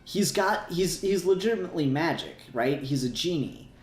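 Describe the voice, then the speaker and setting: A man's voice: fairly high pitch, animated, normal speed. A man in casual, sweary fan discussion as a podcast co-host, rambling along with emphatic repetition. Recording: roomy echo, faint music behind.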